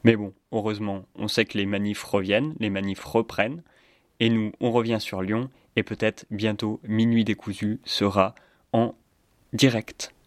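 A man hosting a community radio show, presenting in a relaxed, unhurried way. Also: fairly low pitch.

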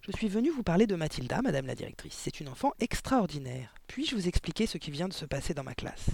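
Man playing a gentle, earnest young schoolmistress, raised pitch. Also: sing-song, fast talker, vocal fry, slightly rough, dry recording, heavily acted. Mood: polite, earnest. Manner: polite, soft, pleading.

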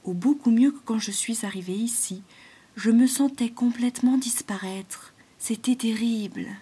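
A woman voicing a gentle, weary male python who speaks in a soft, breathy whisper. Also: medium pitch. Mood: plaintive. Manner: slow, hushed and plaintive.

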